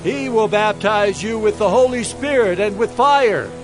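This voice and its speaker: A man's voice: high-pitched, a little slow, music in the background. A man playing a stern, fiery prophet in a dramatized audio Bible, admonishing in a declamatory way. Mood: fervent, warning. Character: fiery wilderness prophet, stern male preacher of repentance.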